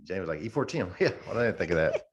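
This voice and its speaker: A man in his forties with a deep, low voice co-hosts a podcast. He speaks in loose, informal chat, sprinkling in dude and man like someone talking to buddies. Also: big pitch swings, racing, highs cut off, crowd noise. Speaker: podcast co-host, active-duty service member. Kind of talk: casual chat.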